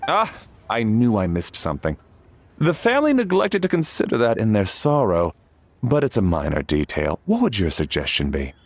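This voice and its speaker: An actor playing a polite, fastidious manservant who speaks formally and with measured care in a game cutscene. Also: male voice, fairly low pitch, huge pitch swings, full character voice. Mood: exacting, businesslike. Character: courteous, fastidious male servant arranging his master's funeral. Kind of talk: animated game cutscene acting.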